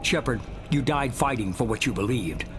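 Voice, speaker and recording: A man playing a cool, manipulative mastermind who talks in a measured, persuasive and commanding way. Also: mid-range voice, expressive, music in the background, natural voice. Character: ruthless human mastermind, middle-aged man, cool and manipulative. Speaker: shadowy organization leader.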